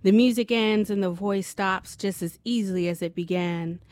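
A middle-aged American woman with a voice of average pitch, a writer in a studio. She grew up in Maryland and speaks as a guest author. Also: moderate pace, a little mellow. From Silver Spring, Maryland, USA. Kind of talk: story reading and casual studio interview.

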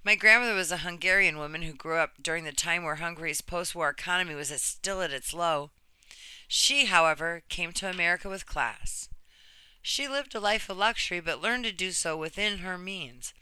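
A woman's voice: on the low side, moderate pace, sharp tone, smooth, dry recording. A woman reading a personal written story aloud in an earnest manner. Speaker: reader of another person's true story.

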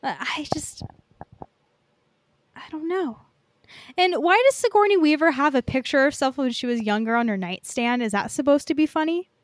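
A woman's voice: high-pitched, expressive, normal speed, long pauses, dry recording. A young woman co-hosting a podcast, chatting casually about films in a rambling way full of filler "like". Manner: rambling, peppered with "like". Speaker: podcast co-host. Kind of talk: casual film review chat.

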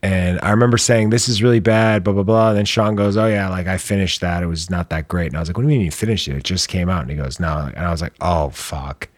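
Deep guy griping about tv shows, dry close mic, laid back. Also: fast, hardly any pauses, no room sound. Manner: laid-back, complaining, swears casually. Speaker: podcast co-host. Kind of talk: casual chat.

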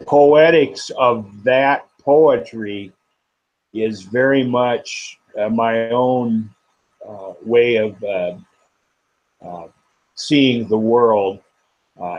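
Poet guy talking real slow, lots of uhs, kinda dull lo-fi recording. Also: on the low side, a little mellow, breathy edge. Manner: slow, halting, with many fillers. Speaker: poet, guest. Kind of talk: interview and poetry reading.

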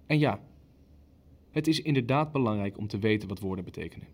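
An actor in an audio drama playing a controlling senior Nazi official who chairs with clipped, formal authority. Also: male voice, on the low side, lively intonation, brisk, slightly rough. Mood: firm, controlled.

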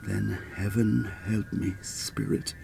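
An actor playing an anguished, frightened and remorseful old father in a radio play, halting and pleading. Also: on the low side, flat delivery, creaky, music in the background, exaggerated voice. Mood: fearful, hushed.

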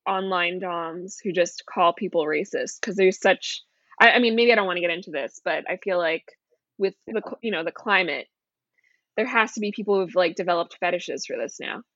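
A woman's voice: mid-range voice, fairly bright tone, no room sound. Teasing woman on a dull, dry mic. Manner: quick, giggly, teasing. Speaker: podcast guest, advice writer. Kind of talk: casual podcast chat.

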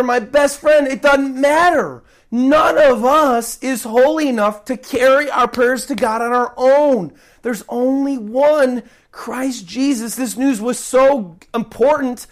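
Woman preacher, bit hoarse from a cold, high-ish voice, hammers the same point over and over. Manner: emphatic, repeats key points, swings between loud stresses and soft drops. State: recovering from a cold, losing her voice. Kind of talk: sermon.

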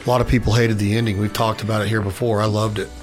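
A man in his late forties co-hosting a podcast, chatting casually and often jumping up in pitch when making a point. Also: little pitch movement, racing, soft background music. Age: 40s. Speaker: podcast co-host. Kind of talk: casual chat.

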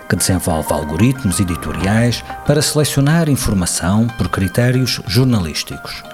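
A man with a European Portuguese accent, narrating an introduction and hosting an interview in a measured, polished way. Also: lowish voice, a little mellow. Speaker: host. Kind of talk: scripted narration and interview questions. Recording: music bed under the voice.

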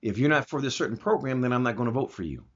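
A man with a rough, husky voice at a medium pitch talks as a show host. He explains his views with emphasis and often asks rhetorical questions. The audio is dull and a little lo-fi. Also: lively intonation, very fast. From Germany. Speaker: talk show host answering listener questions. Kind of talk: opinionated conversational commentary.

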